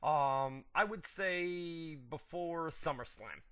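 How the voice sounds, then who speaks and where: A man's voice: fairly high pitch, slow, fairly bright tone, clean tone, dry recording. A man hosting a casual wrestling talk radio show, laid-back and chatty, losing his voice as he reads out listener questions. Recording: dull lo-fi internet radio audio.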